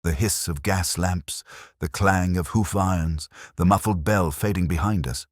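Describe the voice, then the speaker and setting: A man narrating a mystery story in a measured, solemn, dramatic literary manner. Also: deep voice, dry recording, clean studio sound. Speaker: audiobook narrator, telling the story as Watson.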